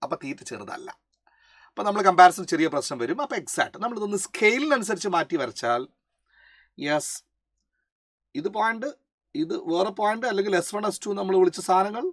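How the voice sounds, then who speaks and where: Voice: mid-range voice, very animated, brisk, long pauses, no room sound, clean studio sound. A physics teacher with a Malayali accent, explaining a worked problem step by step, mixing in English terms and often asking short check questions. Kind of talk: explanatory lesson working through a problem.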